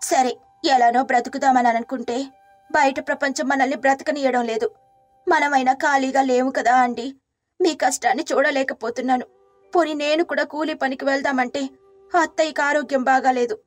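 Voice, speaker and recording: High-voiced woman narrating a storybook. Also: fairly even intonation, normal speed, no room sound, faint music behind. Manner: soft, caring, earnest. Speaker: narrator and wife character.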